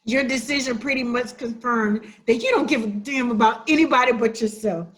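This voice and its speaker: A woman's voice: a little high, normal speed, a bit bright, natural voice. A woman acting a hurt, defiant, sassy sister who makes emphatic accusations in a dramatic play reading. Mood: bitter, resolute. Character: wounded, defiant younger-minded sister confronting her sibling.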